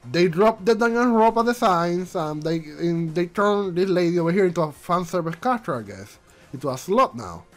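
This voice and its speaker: Male vlog host, congested and stuffy, high lively voice, faint music under him, rambling non-native English. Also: normal speed. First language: not English. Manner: rambling, repeats himself, frequent restarts. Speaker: channel host. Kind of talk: casual commentary vlog.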